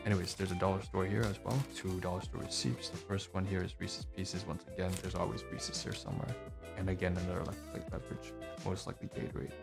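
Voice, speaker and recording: A man with a deep, gravelly voice talks his way through a casual, digressive vlog. He is chatty and pokes fun at himself as he goes. Music plays clearly underneath him. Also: level tone, fast talker. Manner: chatty, digressive, self-mocking. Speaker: vlogger reviewing receipts. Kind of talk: casual rambling monologue.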